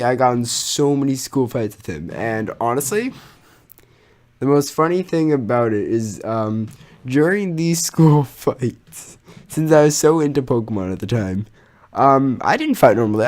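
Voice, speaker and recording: A young man with a mid-pitched voice tells a personal story online. His delivery is casual and animated, full of slang, exaggeration and swearing. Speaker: storytelling YouTuber.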